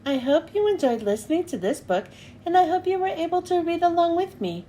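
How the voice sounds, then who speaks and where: A woman reading a picture book aloud to children with an expressive, animated delivery. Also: very high voice, a little fast, a little hiss or hum.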